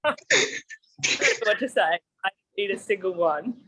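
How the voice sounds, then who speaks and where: A woman's voice: medium pitch, expressive, moderate pace, fairly bright tone, no echo. A woman, an athlete bantering and teasing on a video call.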